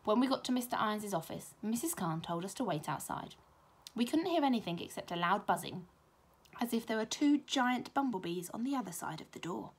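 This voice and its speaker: A British woman, a primary school teacher, reading a children's story aloud with expressive narration. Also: mid-range voice, brisk, slightly rough.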